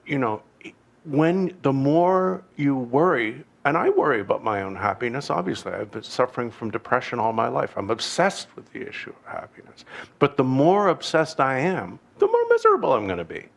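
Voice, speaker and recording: A man with a Canadian accent giving an informal dharma teaching, talking in a halting, digressive way with wry, self-deprecating humor. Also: mid-range voice, sing-song, creaky. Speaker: Buddhist teacher.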